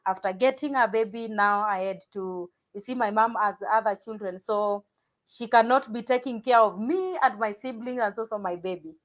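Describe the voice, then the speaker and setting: Kenyan woman, interview guest, reflective, hesitant, mid-pitched voice. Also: moderate pace. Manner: reflective, hesitant, many fillers and restarts. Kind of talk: personal life story interview.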